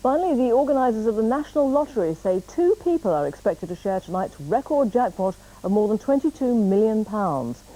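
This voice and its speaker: A woman's voice: fairly high pitch, very animated, brisk, hardly any pauses, mellow, a little hiss or hum, poor audio. A British woman reading scripted news as a television bulletin presenter.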